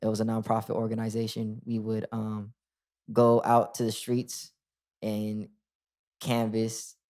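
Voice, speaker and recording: A man's voice: on the low side, a little slow. A man in his twenties, a rapper guest, talking casually in an interview and often restarting with "like". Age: 20s. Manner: relaxed, lots of "like" and restarts.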